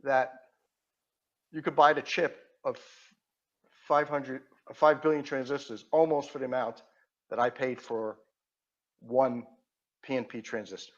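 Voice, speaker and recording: A man's voice: medium pitch, fairly even intonation, unhurried, long pauses, dull audio. A man from Queens, New York with a Queens accent, a podcast guest explaining technical matters methodically with fillers and restarts. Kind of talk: casual podcast chat.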